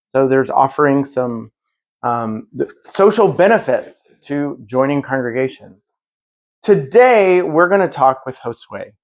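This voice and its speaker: Man moderating, measured and explanatory. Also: medium pitch. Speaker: moderator of a panel event. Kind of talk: prepared introduction to a live public conversation.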